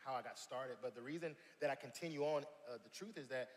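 A man from South Carolina, a worship leader talking earnestly as a guest on a church stage, often pausing and restarting. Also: medium pitch. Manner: earnest, many fillers and restarts. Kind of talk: casual interview chat. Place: church stage, large hall. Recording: long hall reverb, faint noise.

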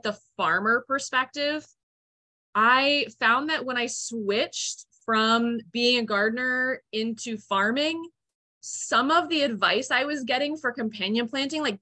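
A woman's voice: on the high side, bright, forceful tone. A young woman farmer giving a chatty, upbeat online presentation with many fillers, speaking over a video call. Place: room at home, indoors.

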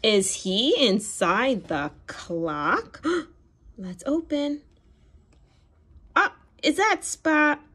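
A woman reading a picture book aloud to young children in an animated, expressive way, with gasps, questions and encouraging praise. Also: a little high, extremely slow, pauses often, husky. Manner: animated, expressive, sing-song. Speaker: children's read-aloud storyteller. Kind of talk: interactive picture-book read-aloud for toddlers.

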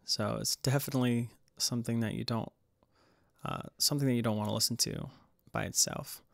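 A man hosting a casual music production tutorial, explaining in a hesitant, halting way. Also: mid-range voice, fairly even intonation, gravelly, dry recording, clean studio sound. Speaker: tutorial host.

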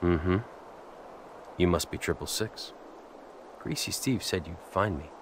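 An actor playing a tough, terse biker hero in his thirties with an American accent, deadpan and threatening, in a video game. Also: low-pitched, slow, a little hiss or hum. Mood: cold, menacing. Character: tough brooding vengeful biker hero, curt and menacing. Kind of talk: video game cutscene acting.

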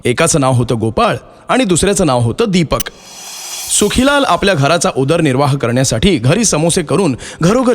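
Male children's story narrator, animated, slightly high voice, light music underneath.

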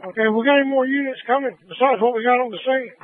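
A man's voice: very high voice, fast talker. A man working as a firefighter on scene gives clipped reports and instructions over two-way radio. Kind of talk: terse fire radio traffic. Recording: phone-like narrow-band radio transmission.

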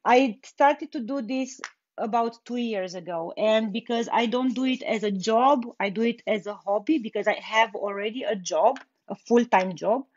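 A woman's voice: fairly high pitch, moderate pace, dull audio. A young woman from Romania with a Romanian accent, chatting unhurriedly through a live furniture painting tutorial and laughing easily. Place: indoor workshop with bare wall.

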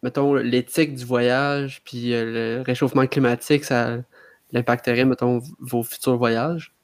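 Mid-pitched young Québécois man. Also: level tone, normal speed. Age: young adult. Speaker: podcast panelist. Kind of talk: casual chat. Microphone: video call.

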